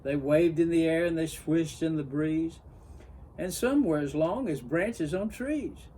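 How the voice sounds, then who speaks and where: A man reading a children's story aloud in an expressive storybook narration style. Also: a little high, a little slow, a little hiss or hum. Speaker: story reader.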